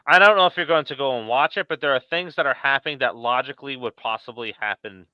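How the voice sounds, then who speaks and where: A man's voice: medium pitch, fast, clear, resonant tone, no room sound, lo-fi sound. A man hosting a podcast, chatting casually about horror films. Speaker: podcast host.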